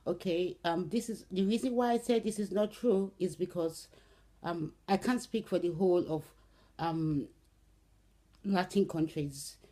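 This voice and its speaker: Woman, middle-aged, from Brazil, casual vlog talk, low pitch, mellow tone, unhurried. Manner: unhurried, many "um"s and "okay"s. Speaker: vlogger. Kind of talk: casual spoken commentary to camera.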